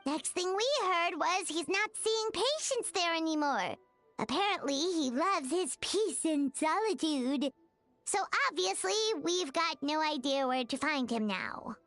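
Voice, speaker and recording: A woman voicing a tiny, childlike fairy companion in an animated video game, bubbly, bossy and excitable, with a squeaky voice. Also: measured pace, soft background music, heavily acted. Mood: grumbling. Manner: excitable, exclaiming, chatty.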